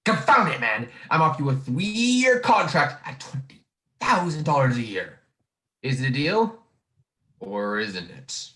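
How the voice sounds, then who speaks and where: A man's voice: mid-range voice, sing-song, a little slow, fairly bright tone. A young man playing a domineering, scheming old tycoon in a 1940s-style radio play, with an imperious, cajoling manner. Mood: impatient, demanding. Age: young adult. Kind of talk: 1940s radio drama acting. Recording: echoey room over video call.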